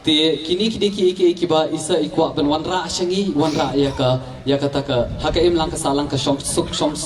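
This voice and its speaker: Male rally interpreter, somewhat high voice, distant and echoey over a public address system. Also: fairly even intonation, fast. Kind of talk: public address, translating a speech.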